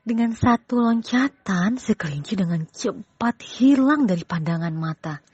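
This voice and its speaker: A woman's voice: mid-range voice, lively intonation, unhurried, a little mellow, slightly rough, no echo, highs cut off. A woman narrating a scripted children's story as a storyteller.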